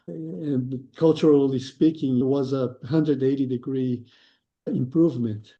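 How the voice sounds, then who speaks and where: Male guest, thoughtful and explanatory, very dark tone, lo-fi audio. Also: mid-range voice, fairly even intonation, measured pace. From Brazil. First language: Portuguese. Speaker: guest, lean consultant. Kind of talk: podcast interview.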